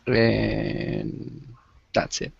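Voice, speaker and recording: A man, a university student talking in a relaxed, tired way late at night. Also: fairly low pitch, extremely slow, vocal fry, slightly rough, no room sound. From Mexico. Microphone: video call. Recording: dry, muffled video call audio.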